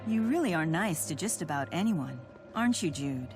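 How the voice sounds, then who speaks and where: An actress playing a composed, blunt, cool-headed woman in a fantasy adventure game, speaking in a measured, frank way. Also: fairly low pitch, lively intonation. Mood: calm, dry. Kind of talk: video game cutscene voice acting. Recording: game music behind the voice.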